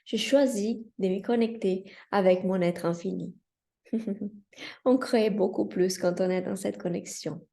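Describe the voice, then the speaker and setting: Gentle Brazilian woman with gravelly warmth. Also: mid-range voice, fairly even intonation. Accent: Brazilian Portuguese. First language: Portuguese. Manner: slow, soft and earnest, with frequent hesitations. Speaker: Reiki teacher and channel host. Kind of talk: spiritual welcome address to viewers. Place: outdoors in the mountains.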